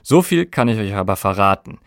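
A man's voice: lowish voice, very animated, fairly quick, no room sound, studio quality. A man presenting a scripted podcast documentary in an explanatory way, speaking directly to listeners. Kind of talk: scripted music documentary narration.

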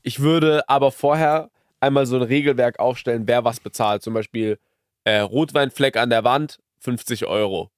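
A man's voice: medium pitch, normal speed, clear, resonant tone, no room sound, clean studio sound. A man in his early twenties chatting casually as a podcast co-host, using youthful slang peppered with English loanwords. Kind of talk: casual conversational podcast chat.

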